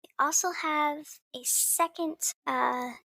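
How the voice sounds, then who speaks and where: Female player, high with vocal fry, decisive planner. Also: steady tone, slow, sharp tone, no echo, low-quality recording. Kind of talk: tabletop game table talk.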